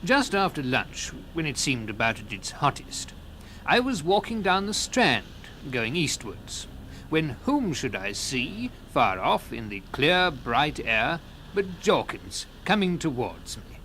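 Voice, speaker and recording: A man playing a sociable, curious gentleman who narrates a literary tale and speaks in its dialogue, genial and expressive. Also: fairly high pitch, slow pace, a little hiss or hum, exaggerated voice. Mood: descriptive, wondering.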